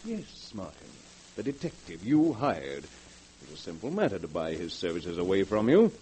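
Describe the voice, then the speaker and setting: An actor playing a smug, cynical wealthy businessman in 1940s radio drama style, sardonic, condescending and deliberate. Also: low-pitched, wildly swooping pitch, warm tone, slight background noise. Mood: mocking. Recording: muffled vintage 1940s radio recording.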